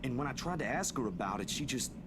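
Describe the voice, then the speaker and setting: A young man from a visual novel, voiced by a male actor, easygoing and loyal toward his friends. He speaks colloquially with a gentle tease at a middling pitch. Noticeable background ambience sits behind him. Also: lively intonation, fast talker, noisy background, natural voice. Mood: troubled, serious.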